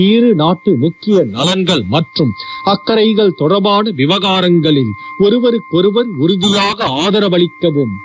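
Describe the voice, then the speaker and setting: High-pitched male newsreader on muffled shortwave. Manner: formal, measured. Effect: shortwave radio broadcast. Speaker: radio news reader. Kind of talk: scripted news reading. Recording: dull, hissy shortwave radio with hum.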